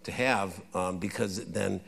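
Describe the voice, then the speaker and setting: A man's voice: deep voice, unhurried, vocal fry, a bit bright, breathy edge. A man on a festival panel who rambles and repeats his words as he thinks aloud. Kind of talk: panel discussion on stage. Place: festival stage.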